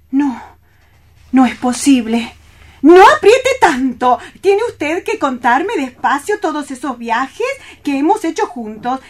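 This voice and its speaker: A dreamy, romantic woman in a radio drama, lost in her fancies. Her high voice moves slowly and haltingly, with theatrical drama in the phrasing. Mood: frightened agitation, then dreamy courtesy.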